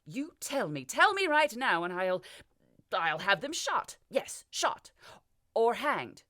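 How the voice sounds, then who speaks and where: A woman doing a shrill, hysterical young king. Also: very animated, slow, sharp tone, exaggerated voice. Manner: shrill, whiny, hysterical outbursts. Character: petulant, childish, panicky young king.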